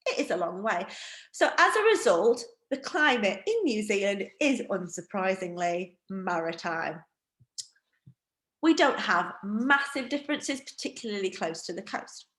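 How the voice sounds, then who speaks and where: A woman's voice: medium pitch, measured pace. Northeast english woman teaching a wine class on a video call, chatty and jokey, echoey room. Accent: Northeast England. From Northeast England. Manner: chatty, self-deprecating, lively. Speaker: wine educator. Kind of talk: online training presentation. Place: home room.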